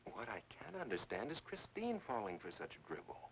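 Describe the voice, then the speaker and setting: An actor playing a dismissive skeptic who takes charge, delivered briskly in a mid-century film noir style. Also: male voice, mid-range voice, wildly swooping pitch, creaky. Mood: dismissive, decisive. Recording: old film soundtrack, distant mic, faint hiss.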